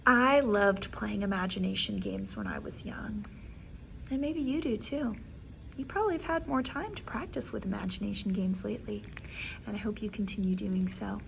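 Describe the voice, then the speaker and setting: A woman reading a picture book aloud to young listeners, theatrically, with dramatic hushes and drawn-out words. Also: mid-range voice, lively intonation, vocal fry, a little hiss or hum, rough recording. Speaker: children's read-aloud storyteller.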